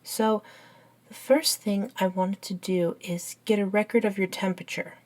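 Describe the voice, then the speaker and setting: A woman voicing an eager, curious, nerdy young best friend in a scripted ASMR roleplay, chatting and explaining in an unhurried, softly enthusiastic way. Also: mid-range voice, fairly even intonation, close to an everyday voice. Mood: earnest, curious. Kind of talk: ASMR roleplay, scripted one-sided conversation.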